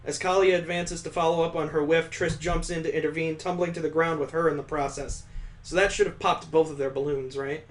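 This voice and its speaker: A man's voice: a little high, steady tone, brisk. A man hosting a let's play, reading visual novel narration aloud dramatically and breaking off for amused, incredulous asides. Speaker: gaming channel host doing a let's play.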